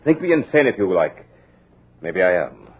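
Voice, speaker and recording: A cultured villain with a husky, low voice, his speech swooping between silky calm and sudden threat. His mocking manner stays measured and polite. He comes through an old radio play recording. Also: full character voice. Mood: menacing. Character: sinister, cultured male villain holding a writer captive. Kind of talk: 1950s radio drama acting.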